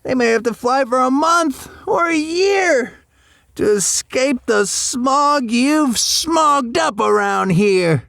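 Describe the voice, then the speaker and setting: Grumpy old storybook creature, very high, croaky, scolding, indignant, drawn-out delivery. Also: male voice, animated, a bit bright, no room sound, clean studio sound, full character voice. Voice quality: croaky, querulous. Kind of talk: animated children's storybook read-along.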